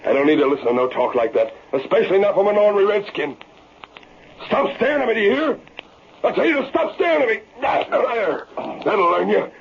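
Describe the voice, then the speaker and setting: Blustering Western villain on muffled old radio. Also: male voice, high voice, animated, a little fast, gravelly. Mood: angry, menacing. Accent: rural American West. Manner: folksy frontier drawl, blustering. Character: scheming, hot-tempered male Western villain, gruff frontier schemer. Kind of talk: 1940s radio acting. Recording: muffled vintage radio recording, crowd noise.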